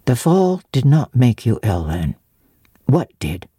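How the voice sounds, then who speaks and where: A woman as brisk, low-voiced gentleman apothecary. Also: very animated, no echo, studio quality, full character voice. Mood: probing. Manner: questioning, gruffly teasing. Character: brisk, skeptical, good-natured male country apothecary.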